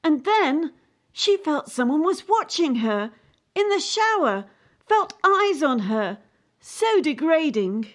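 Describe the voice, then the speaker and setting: High-voiced, anxious young female gymnast. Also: sing-song, slow pace, exaggerated voice. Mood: anxious, weary. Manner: anxious, aggrieved, brooding. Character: distressed, idealistic young female competitive gymnast. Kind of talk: radio play acting.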